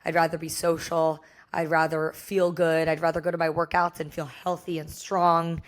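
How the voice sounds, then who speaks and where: A young American woman with a hoarse voice, talking candidly and casually as the solo host of a podcast. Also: low-pitched, level tone, moderate pace. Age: young adult. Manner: chatty, candid, frequent "like".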